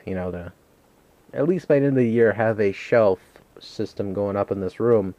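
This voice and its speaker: A man's voice: lowish voice, dry recording, muffled recording. A man doing a casual, unscripted show-and-tell vlog in a rambling, hesitant, self-deprecating way. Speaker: video game collector, vlogger. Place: a room at home.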